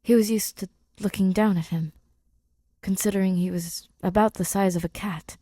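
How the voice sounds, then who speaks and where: A woman narrating scripted fiction in an intimate, subdued, halting way, her breathy voice often near a whisper. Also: medium pitch, fairly even intonation, mellow, dry recording, studio quality. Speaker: podfic reader.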